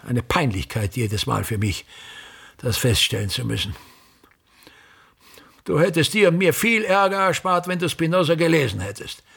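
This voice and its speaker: An actor in a radio play voicing a pompous, obsessive theater man who declaims pedantically and repeats his phrases, with a gravelly, husky voice. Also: on the high side, sing-song, moderate pace, long pauses, fairly bright tone, exaggerated voice. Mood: exasperated.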